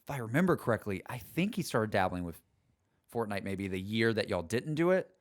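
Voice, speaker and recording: Low-voiced young man. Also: very animated, a little fast. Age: 20s. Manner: easygoing, agreeable, says "like" often. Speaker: podcast co-host. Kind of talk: casual podcast chat.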